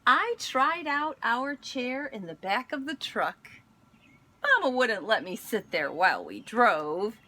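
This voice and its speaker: A woman reading a picture book aloud in an expressive storytelling manner, pausing to speak to young listeners. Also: medium pitch, a little hiss or hum. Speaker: school instructional coach reading aloud.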